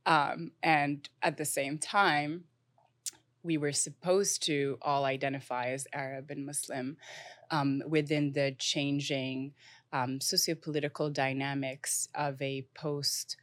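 A young woman from Morocco, speaking thoughtfully as an interview guest with frequent pauses and fillers. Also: low-pitched, little pitch movement, a bit bright, no echo, clean studio sound. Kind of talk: conversational interview.

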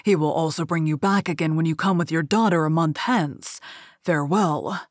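A woman's voice: deep voice, normal speed, no echo, clean studio sound. A woman reading as a stern, furious male beast. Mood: grim. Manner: loud, stern, commanding.

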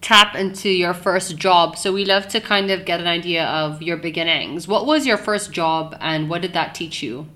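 A woman hosts an interview with a forward, bright voice that sits a little low. She puts her questions in a conversational style. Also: moderate pace, few pauses. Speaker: interviewer, host.

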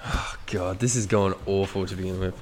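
Low-voiced teasing Australian host. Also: male voice, fairly even intonation, moderate pace, a little mellow, slight background noise. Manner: teasing, laughs often, swears. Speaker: podcast co-host. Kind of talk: casual chat.

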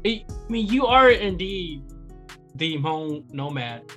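An eager interview host of middling pitch, speaking with admiring enthusiasm. The host rambles through long questions and praise. Faint music plays softly behind. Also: animated, takes it slowly. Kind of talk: interview hosting.

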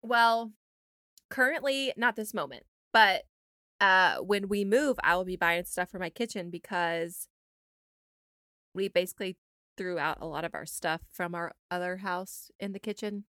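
A woman's voice: medium pitch, measured pace, bright, forceful tone, no room sound, clean studio sound. An American woman co-hosting a podcast in casual, conversational chat. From United States. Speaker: podcast co-host.

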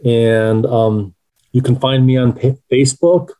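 Mellow-voiced man answering interview questions. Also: mid-range voice, steady tone, a little slow, rough recording. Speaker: author being interviewed.

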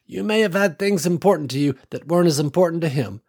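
A man's voice: a little high, fast, voice pushed up. A man doing a folksy old farm woman. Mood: gentle. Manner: gentle folksy drawl with endearments. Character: warm elderly woman farmer, folksy and wise.

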